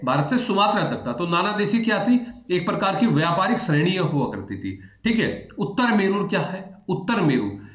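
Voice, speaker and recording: A man's voice: on the high side, fairly bright tone. A man teaching in a conversational lecture style, repeating phrases and addressing his students directly. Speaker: history teacher for exam aspirants. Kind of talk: explanatory online lecture in Hindi with English terms. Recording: echoey room, dull muffled audio.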